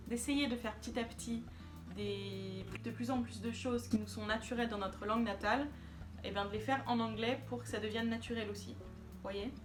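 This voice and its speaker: A woman vlogger talking casually to camera, chatty and hesitant with many fillers. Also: mid-range voice.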